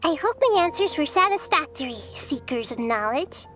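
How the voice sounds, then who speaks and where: A high, light voice of a little girl goddess, earnest and composed. She speaks in careful, formal game dialogue with a thoughtful, wise manner, over background music. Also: lively intonation, a bit bright. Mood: weary, relieved. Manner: measured, thoughtful, formal phrasing. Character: small gentle wise young girl deity, earnest and composed. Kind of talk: scripted video game character dialogue.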